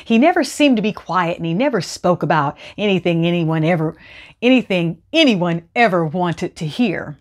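A middle-aged woman narrating a children's story aloud in a theatrical, expressive way, close on a lapel mic. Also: fairly low pitch, normal speed. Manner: theatrical, animated.

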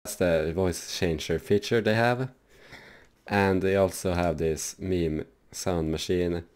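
A man hosting a casual, loose software tutorial for his gaming channel, rambling with lots of fillers. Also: deep voice, takes it slowly.

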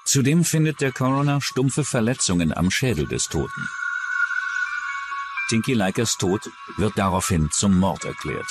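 A man's voice: on the low side, lively intonation, moderate pace, over music. A man reading scripted true-crime documentary narration.

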